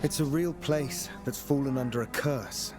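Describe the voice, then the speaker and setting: A man's voice: mid-range voice, moderate pace, over loud music, natural voice. An actor playing a determined young male warrior in a video game, speaking earnestly and directly. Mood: reassuring.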